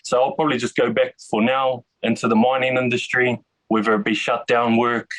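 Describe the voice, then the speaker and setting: A man's voice: mid-range voice, little pitch movement, fairly quick, fairly bright tone, low-quality recording. A Māori man from New Zealand talking hesitantly in a casual interview over a video call, with many restarts. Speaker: detainee interviewee.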